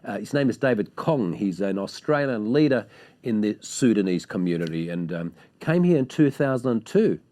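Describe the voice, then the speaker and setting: Effusive male TV host, mellow tone. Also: on the low side, animated, moderate pace. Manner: effusive, praising, with many hesitations. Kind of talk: TV interview.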